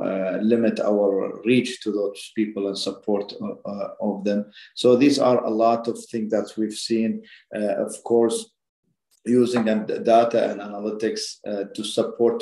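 Gravelly, mellow man in an echoey room. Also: lowish voice. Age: middle-aged. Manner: hesitant, repeats words. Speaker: education official, webinar panelist. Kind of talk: unscripted presentation. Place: indoor office on a video call. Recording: roomy, echoing video call.

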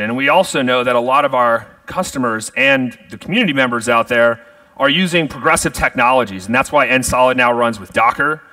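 Bright-voiced man giving an upbeat keynote. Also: no room sound, distant mic. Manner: polished, upbeat product pitch. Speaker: keynote speaker. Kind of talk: conference keynote presentation. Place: large conference stage.

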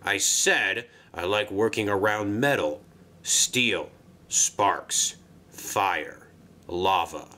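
A man's voice: very slow, bright, forceful tone, full character voice. Man doing a grumpy, grumbling steam engine. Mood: sullen. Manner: low, grumbling and curt.

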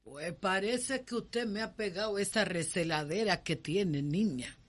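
A folksy enslaved Cuban nursemaid in a radio soap. She is a blunt, teasing woman who talks colloquially and takes her time over each phrase, at a middling female pitch. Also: unhurried, slightly rough. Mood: wary. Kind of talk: radio soap opera acting.

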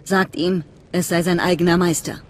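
An actress playing a secretive, fanatical cult leader in a game, speaking in a measured, earnest, conspiratorial way. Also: female voice, lowish voice, little pitch movement, a little hiss or hum, close to an everyday voice. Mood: urging, conspiratorial. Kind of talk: dramatic game voice acting.

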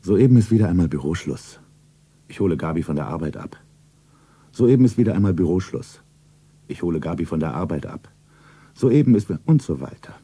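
An actor playing a rich, secretive, suave lover who narrates himself solemnly in a stylised 1970s radio play. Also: male voice, sits low, dark tone, breathy edge, exaggerated voice. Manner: deliberate, solemn, self-narrating.

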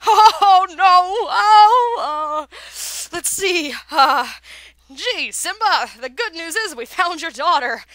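Girl doing a loud little cartoon meerkat guy, fast wisecracks, bright and high. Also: animated, no echo, full character voice. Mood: flustered, exasperated. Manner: brash, fast-talking, exasperated.

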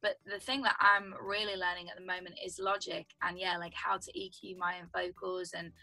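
Chatty husky young woman on a call. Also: fairly low pitch, little pitch movement, a little fast, sharp tone, poor audio. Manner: chatty, explains with lots of "like" and "do you know what I mean". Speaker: songwriter guest. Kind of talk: casual video call interview. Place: home room indoors. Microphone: video call webcam.

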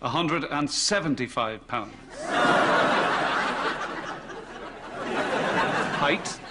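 A man's voice: fairly high pitch, a little slow, a loud crowd, close to an everyday voice. A man playing a firm, sceptical doctor in a sitcom, clipped and matter-of-fact. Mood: clinical. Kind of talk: sitcom acting, straight man. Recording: noisy studio sitcom sound.

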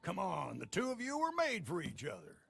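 A man playing a grizzled old American frontier trader in a video game, folksy and rambling like a salesman, with a gravelly voice. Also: high-pitched, wildly swooping pitch, a little fast, fairly bright tone, a little hiss or hum, heavily acted. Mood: earnest. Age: older. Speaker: game character actor. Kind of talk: video game cutscene acting.

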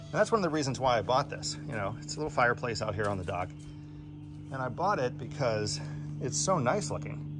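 A man's voice: mid-range voice, big pitch swings, brisk, over music. A man giving a casual, plain-spoken product review, pointing out defects while filming with a handheld phone on an outdoor deck. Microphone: phone camera in hand.

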